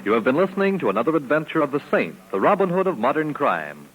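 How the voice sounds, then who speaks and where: A man's voice: on the high side, sing-song, fast, low-quality recording. A man announcing scripted credits in 1950s network radio style on an old broadcast recording. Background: loud music.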